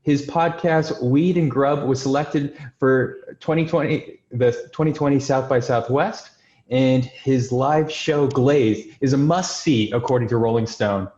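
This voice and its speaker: A man's voice: mid-range voice, a little slow, darkish tone. A man hosting a table read, giving scripted introductions and reading screenplay stage directions. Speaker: host and stage-direction narrator. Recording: roomy, dull lo-fi sound.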